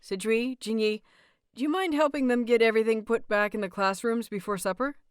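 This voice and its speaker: A woman's voice: a little high, fairly quick, clean tone, no room sound, lightly acted. Woman as a cheerful young-hearted male teacher, light teasing manner, slightly bashful, audiobook dialogue, dry sound. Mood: warm, friendly.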